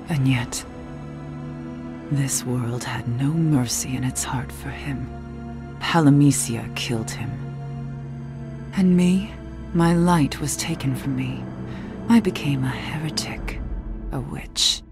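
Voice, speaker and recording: Sorrowful low-voiced witch in exile. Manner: solemn, slow, measured delivery with formal wording. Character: sorrowful, resolute exiled young witch, grave and weary. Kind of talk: video game cutscene voice acting. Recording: music behind the voice.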